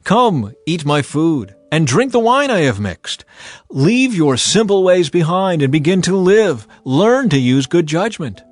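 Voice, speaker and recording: Man voicing exalted, proclaiming Wisdom, lively pitch. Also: a little slow, no room sound. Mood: inviting. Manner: declamatory, proclaiming to a crowd. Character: Wisdom personified, an ancient woman, earnest, exalted, commanding. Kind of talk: dramatized scripture reading. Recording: music behind the voice. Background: music.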